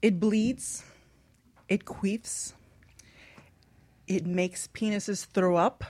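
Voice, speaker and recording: A woman's voice: mid-range voice, fairly even intonation, drawn-out words, a little mellow. A woman hosting a talk radio show, speaking frankly and explicitly in a casual chat with filler ums.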